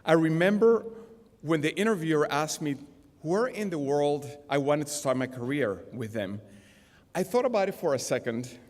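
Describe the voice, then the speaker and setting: A middle-aged man with a Venezuelan Spanish accent gives a formal commencement speech in a measured, deliberate way at a podium. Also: a little high, lively intonation, a little mellow. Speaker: graduation speaker, business executive. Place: large indoor auditorium stage. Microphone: podium gooseneck microphones. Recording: long hall reverb from auditorium PA.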